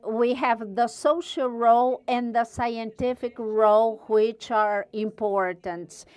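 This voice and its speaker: Slow, smooth-voiced Portuguese woman speaker. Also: on the high side, steady tone, a little mellow. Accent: European Portuguese. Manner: careful, searching for words. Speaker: guest speaker, university researcher. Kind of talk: conference presentation.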